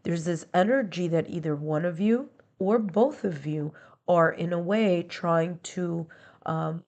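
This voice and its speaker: A woman's voice: on the low side, unhurried, a little mellow, highs cut off. A woman with a congested, stuffy nasal voice gives a conversational tarot and astrology reading, explaining each point with strings of near-synonyms. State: stuffy, congested from being under the weather. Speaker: tarot reader.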